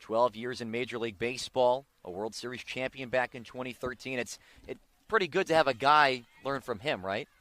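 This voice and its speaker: Bright-voiced American man calling baseball. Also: medium pitch. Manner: excited, drawn-out calls on big plays. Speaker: baseball play-by-play announcer. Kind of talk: live sports radio commentary.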